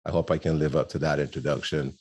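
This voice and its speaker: An older man from Barbados, a guest speaker telling his life story in a rambling, storytelling way with many pauses. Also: very deep voice, little pitch movement. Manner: warm storytelling with frequent ums and laughs. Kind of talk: personal testimony talk.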